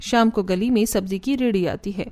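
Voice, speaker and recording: A woman's voice: mid-range voice, moderate pace, a little mellow. A woman giving scripted narration for a cartoon family story.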